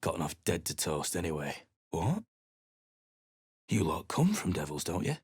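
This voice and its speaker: An actor playing a gruff, bitter British adventurer in a video game, curt and dismissive, with a rough voice. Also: male voice, sits low, very animated, normal speed, no room sound, studio quality, exaggerated voice. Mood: bitter, surly. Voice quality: husky, rough. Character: gruff, bitter adventurer man, surly and battle-worn.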